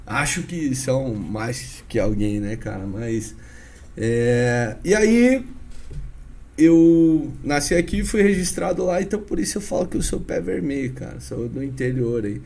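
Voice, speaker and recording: This is a chatty man in his thirties with a dark, mellow tone and a Paraná interior Brazilian Portuguese accent. He tells stories as a podcast guest with an animated, informal delivery, full of "cara" and "né" tags. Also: mid-range voice, measured pace, creaky, slight background noise. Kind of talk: casual storytelling interview.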